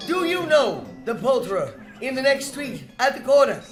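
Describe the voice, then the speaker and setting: An actor playing an elderly reformed miser in a radio drama, excitable and theatrical, given to exclaiming. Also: male voice, extremely high pitch, moderate pace. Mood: giddy joy. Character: elderly reformed miser, giddy, booming, generous. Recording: music and crowd sound behind.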